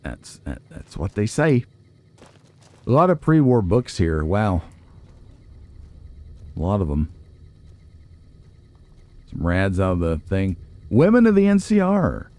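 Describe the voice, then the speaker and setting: Male let's play host: low, dark tone, folksy, chatty, thinking aloud as he plays. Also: wildly swooping pitch, slow pace, long pauses. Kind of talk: casual gameplay commentary.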